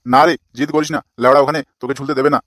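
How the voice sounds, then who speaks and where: Man acting a rapid-fire, scolding cartoon father. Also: mid-range voice, level tone, a bit bright, no room sound, clean studio sound, close to an everyday voice. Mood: impatient explaining. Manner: scolding, crude, swearing. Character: exasperated foul-mouthed old village father scolding his sons. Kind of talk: comic cartoon dialogue.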